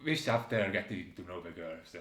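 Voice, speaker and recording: A man giving video game commentary in a halting, hesitant way with searching pauses. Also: low voice, fairly bright tone, reverberant. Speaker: host presenting a game critique. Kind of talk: video game commentary, reading dialogue aloud.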